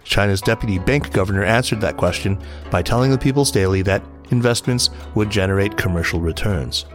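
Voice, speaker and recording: A man's voice: lowish voice, a little fast, soft background music. A man hosting a podcast, reading a scripted business news roundup. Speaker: podcast host.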